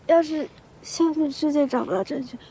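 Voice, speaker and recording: A woman's voice: high-pitched, moderate pace, mellow. An actress playing an injured young woman in a TV romance drama, with a coaxing, hesitant manner. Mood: sheepish, pleading. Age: young adult.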